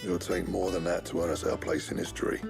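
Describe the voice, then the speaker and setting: An actor with a British accent playing a hearty, plain-spoken, good-humoured blacksmith. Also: male voice, deep voice, flat delivery, fast, gravelly. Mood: amazed, pleased. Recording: music behind the voice.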